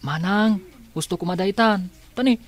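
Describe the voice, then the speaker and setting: A woman playing a patient, soft-hearted younger sister in a radio drama. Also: mid-range voice, normal speed, lightly acted. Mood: placating.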